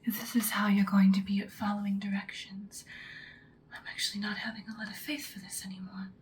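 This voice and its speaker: A woman whispering as an ancient female vampire slayer, seductive and stern, in an ASMR roleplay with slow, deliberate, breathy delivery. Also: medium pitch, level tone, close to an everyday voice. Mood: menacing, stern.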